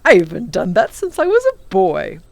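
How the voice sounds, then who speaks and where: A woman's voice: medium pitch, wildly swooping pitch, moderate pace, vocal fry, a little mellow, no echo. A woman voicing a kindly, learned elderly male professor in a children's storybook, exclaiming and savouring long words. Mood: excited, playful. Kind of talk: children's storybook character reading.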